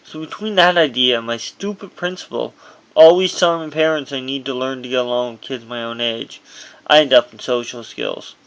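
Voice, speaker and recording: A man's voice: medium pitch, moderate pace, a bit bright, smooth, poor audio. A man reading his own memoir aloud as narration, in a personal first-person delivery with dramatic emphasis, on an amateur recording.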